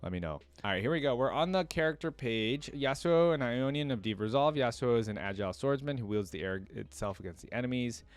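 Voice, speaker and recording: A man's voice: mid-range voice, lively intonation, a little fast, hardly any pauses, smooth, no echo. A man making a reaction video, chatty and thinking aloud as he reads text out and comments casually.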